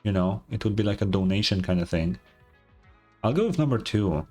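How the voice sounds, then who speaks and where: A man's voice: fairly low pitch, expressive, a little fast, a little mellow, soft background music. A man giving casual playthrough commentary as a gaming streamer, blunt and sweary.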